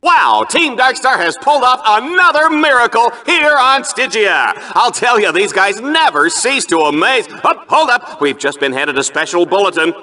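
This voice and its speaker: High, hammy, smarmy game-show sportscaster. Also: male voice, big pitch swings, normal speed, few pauses, sharp tone, exaggerated voice. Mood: amused, excited. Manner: theatrical, showy announcer delivery with exaggerated emphasis. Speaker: arena show commentator. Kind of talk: over-the-top sports commentary.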